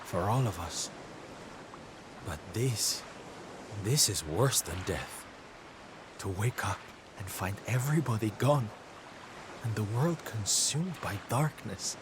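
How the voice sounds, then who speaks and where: An actor playing a theatrical, sensitive male adventurer in a video game, downcast and tender, speaking in a halting, dramatic way. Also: fairly low pitch, expressive. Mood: despairing. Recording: clear noisy ambience under the voice.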